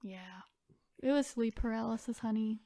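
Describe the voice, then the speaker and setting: A woman's voice: a little high, normal speed, mellow. A woman co-hosting a podcast, reading online stories aloud and chatting in an informal, conversational way. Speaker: podcast co-host.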